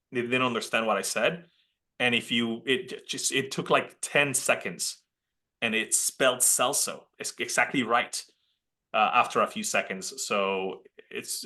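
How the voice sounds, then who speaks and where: A man in his thirties from Puerto Rico with a Puerto Rican Spanish accent, giving a chatty webinar talk as a college dean. He restarts his sentences a lot and fills pauses with "uh". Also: medium pitch, bright, forceful tone. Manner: chatty, many restarts and "uh" fillers. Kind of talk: casual presentation talk. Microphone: computer mic on a video call.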